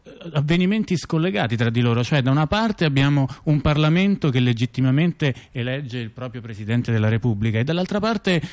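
A man playing a reflective intellectual in a radio theatre dialogue, recounting events and memories in a halting, conversational way with repeated words. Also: medium pitch, expressive, darkish tone, lightly acted. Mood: wry, reminiscing. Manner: halting, repeats words and restarts while recollecting. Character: reflective male intellectual recalling political events. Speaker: performer in a two-person radio theatre dialogue.

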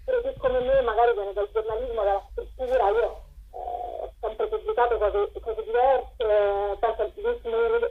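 A woman's voice: on the high side, husky, phone-quality sound. A woman being interviewed as a writer and performer, talking spontaneously and enthusiastically, often searching for words. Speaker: interview guest, writer and performer presenting her collective's show. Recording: thin band-limited radio or phone sound.

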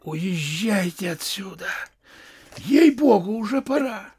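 Folksy, nagging manservant, high voice with lively swoops, theatrical audio play. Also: male voice, takes it slowly, breathy edge, full character voice. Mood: anxious coaxing. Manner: folksy, nagging, familiar yet deferential. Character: wary, coaxing manservant urging his master to leave. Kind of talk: theatrical audio drama acting.

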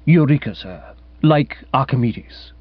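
A man's voice: medium pitch, animated, measured pace, no room sound. An unflappable English manservant, as a male reader plays him in a comic audiobook. He speaks with formal deference and complete composure. The recording is close and dry, a little dull. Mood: composed. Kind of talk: comic audiobook character acting.

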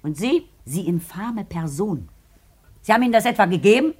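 A stern businesswoman questioning an employee in a radio play. Her pitch is average for a woman, and her manner is impatient and sarcastic. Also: very animated, moderate pace, a little mellow, slightly rough. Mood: demanding, indignant. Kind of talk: German radio drama acting.

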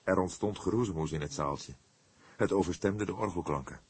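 A man's voice: sits low, a little fast, slightly rough, no room sound. A man reading a crime novel as an audiobook narrator, in scripted storytelling style.

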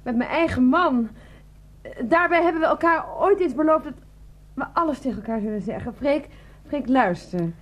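A woman's voice: sits high, lively intonation, moderate pace. A woman playing a blunt, defiant, indignant wife in a radio drama. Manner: defiant, frank. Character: blunt, indignant young wife confessing to her husband. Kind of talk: radio play dialogue.

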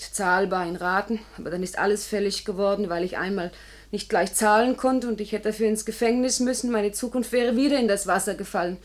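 A woman's voice: medium pitch, lightly acted. An actress in a radio play playing a poor, resigned young woman, plain and subdued in manner.

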